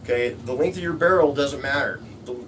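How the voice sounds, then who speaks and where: A man's voice: medium pitch, brisk, fairly bright tone, faint music behind. A middle-aged man, giving a casual, plainspoken hands-on tutorial and repeating his points. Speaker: DIY tool-building presenter. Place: small cluttered indoor workshop. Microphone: camera a few steps away. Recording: distant camera mic, noisy workshop hum.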